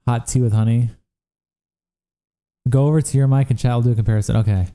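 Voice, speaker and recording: A man's voice: mid-range voice, steady tone, warm tone. A young man hosting a livestream, chatting casually and profanely with viewers while worn out from days of streaming. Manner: casual, profane, reacts to chat with quick asides. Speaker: livestream host. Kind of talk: casual livestream chat reading viewer comments.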